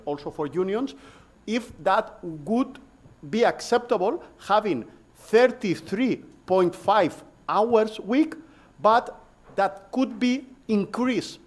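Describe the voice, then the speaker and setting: A man with a Spanish accent speaking at a university seminar panel, hesitant, with many fillers and restarts. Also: high-pitched. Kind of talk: academic panel discussion.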